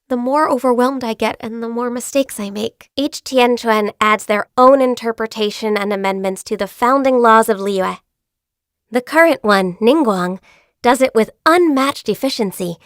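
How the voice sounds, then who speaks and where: A woman voicing a gentle, shy and dutiful young woman in a video game, speaking politely and earnestly in a soft manner. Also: a little high, no room sound, studio quality, natural voice. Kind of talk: video game character voice lines.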